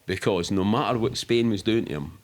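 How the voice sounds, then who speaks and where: Low-pitched Scottish man telling stories. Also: fairly quick. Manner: colloquial, rambling, sweary. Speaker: interview guest. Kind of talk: casual anecdotal storytelling.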